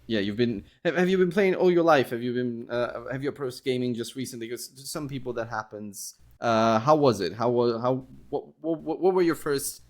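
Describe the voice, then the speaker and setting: A man's voice: medium pitch, normal speed, few pauses. A man hosting an interview podcast in a polite, conversational way, often wordy and hesitant as he talks.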